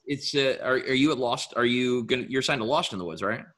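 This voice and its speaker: Co-host guy, bright sharp tone, dry jokes, rambles a bit. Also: mid-range voice, brisk. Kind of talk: casual chat.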